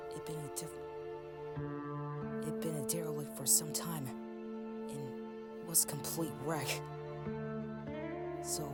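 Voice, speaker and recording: A woman playing a resilient older grandmother who tells her family's story in an earnest, measured, dramatic comic-dub style. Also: deep voice. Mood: solemn, reflective. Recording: loud background music over voice. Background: loud cinematic game and film score.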